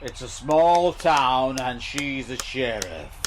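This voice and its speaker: An actor playing a scheming small-town entrepreneur in a comedy audio drama, measured and persuasive, hedging as he goes. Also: medium pitch, expressive, background noise.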